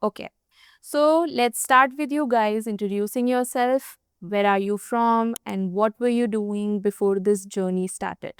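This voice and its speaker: A woman's voice: mid-range voice, unhurried, clean tone, no room sound, studio quality. A woman hosting a podcast interview, encouraging and affirming her guests. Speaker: podcast host.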